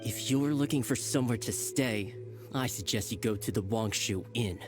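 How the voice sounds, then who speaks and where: An actor playing a nervous smooth-talking petty crook with a salesy manner, over game music. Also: male voice, mid-range voice, normal speed, close to an everyday voice. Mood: shifty. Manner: salesy, nervous, talks his way out. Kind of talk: video game voice acting. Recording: game soundtrack under the voice.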